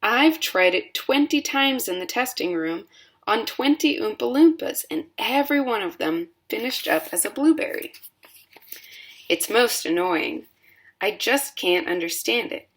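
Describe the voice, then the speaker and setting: A woman's voice: a little high, expressive, unhurried, pitched above the performer's own voice. A woman voicing an eccentric male candy inventor who speaks in a rueful, matter-of-fact way.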